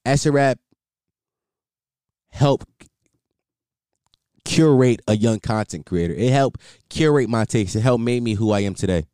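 A man's voice: medium pitch, lively intonation, measured pace, long pauses, dark tone, smooth, no echo, clean studio sound. A young man rambling casually as a solo podcast host, repeating himself and filling with "like".

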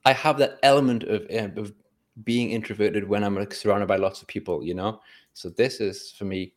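A man in casual conversation on a podcast, relaxed and reflective, chuckling now and then. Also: fairly low pitch, normal speed. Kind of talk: casual chat. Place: indoors at home.

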